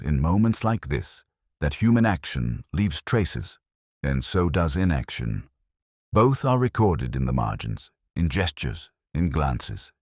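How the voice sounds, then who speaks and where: A man playing a melancholy middle-aged police inspector, narrating his mystery in measured, solemn, literary prose. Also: extremely low pitch, no echo, clean studio sound, heavily acted. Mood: somber, reflective. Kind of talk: scripted first-person literary mystery narration.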